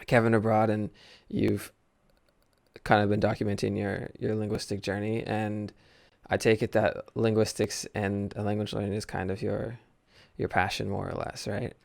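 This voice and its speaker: A man's voice: fairly low pitch, little pitch movement, moderate pace, vocal fry, a little mellow, dry recording. A man hosting a casual podcast interview, easygoing and hesitant, often restarting his sentences. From United States. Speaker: podcast host.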